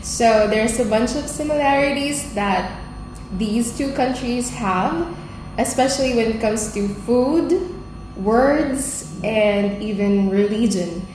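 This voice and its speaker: A woman from the Philippines answers interview questions in a measured, composed way. Her voice sits a little high and her pace is unhurried. It sounds like a very echoey room with faint music underneath. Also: darkish tone, slight background noise. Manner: careful, composed, somewhat formal phrasing. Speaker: language assistant. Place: school room.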